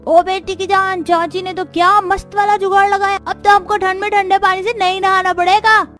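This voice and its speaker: A woman voicing an excited, gleeful little child in a cartoon. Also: extremely high pitch, normal speed, faint music behind, voice pushed up. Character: excited little child admiring aunt's handiwork.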